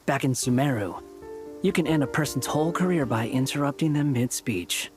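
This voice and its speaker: A man's voice: medium pitch, normal speed, over music, close to an everyday voice. A man playing a wry young wanderer in a video game, talking in a dry, teasing way. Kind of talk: scripted video game voice acting.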